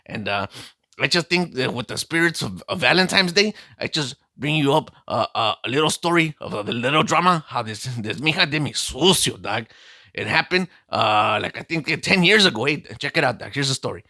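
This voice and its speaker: A man's voice: medium pitch, expressive, brisk, pushed and bright, dry recording. A man playing a swaggering streetwise vato. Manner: slangy, calls listener "dog" constantly, boastful storytelling. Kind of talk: comic character monologue.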